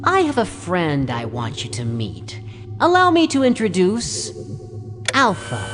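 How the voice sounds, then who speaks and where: A woman's voice: fairly low pitch, wildly swooping pitch, measured pace, soft background music. A woman voicing a smug, boastful boy prankster villain in a cartoon computer game, theatrical and gloating.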